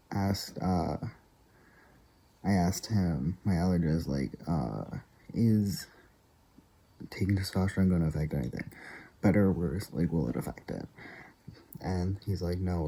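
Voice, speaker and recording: A man vlogging in a casual, rambling way, full of fillers and frequent soft laughs. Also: deep voice, breathy edge.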